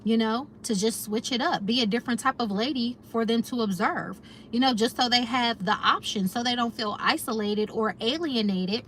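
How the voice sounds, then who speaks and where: Chatty American woman, higher voice. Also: normal speed, a bit bright, a little hiss or hum. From United States. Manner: chatty, addresses viewers as y'all, reflective. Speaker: lifestyle vlogger. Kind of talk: casual chat to viewers.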